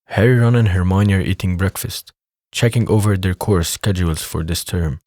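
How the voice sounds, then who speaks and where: A man's voice: low-pitched, moderate pace, darkish tone, no room sound, studio quality. A man reading scripted narration for an audio drama as its narrator.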